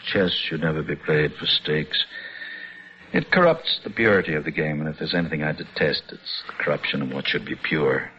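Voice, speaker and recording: An actor playing a sadistic, pompous chess-obsessed villain in an old-time radio drama, with mocking, precise, theatrical diction. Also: male voice, extremely low pitch, lively intonation, moderate pace. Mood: smug, contemptuous. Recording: muffled old radio recording with music.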